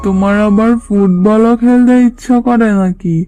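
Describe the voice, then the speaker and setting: A woman voicing a kindly old female fox beggar in a cartoon, gentle, grandmotherly and imploring. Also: medium pitch, steady tone, moderate pace, soft background music, close to an everyday voice. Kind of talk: cartoon dubbing.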